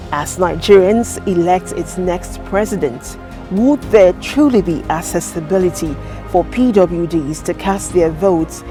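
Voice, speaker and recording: A woman reporter speaking clearly and directly in a news report. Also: lowish voice, mellow.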